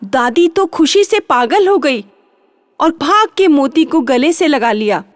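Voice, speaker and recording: A woman's voice: high voice, lively intonation, measured pace, no echo, clean studio sound. A woman narrating a children's bedtime story, repeating and drawing out phrases for drama.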